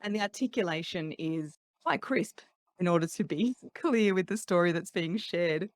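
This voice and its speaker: A woman's voice: fairly low pitch, moderate pace, dry recording, clean studio sound. A middle-aged woman with an Australian accent, a voice coach speaking articulately and laughing lightly between points in a podcast interview. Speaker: voice coach guest. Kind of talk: casual interview chat.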